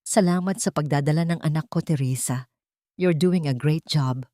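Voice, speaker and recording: A woman's voice: low-pitched, normal speed, dark tone, dry recording, studio quality, close to an everyday voice. Sly, venomous rich mistress, voiced by a woman storyteller. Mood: gloating. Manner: mock-sweet, taunting.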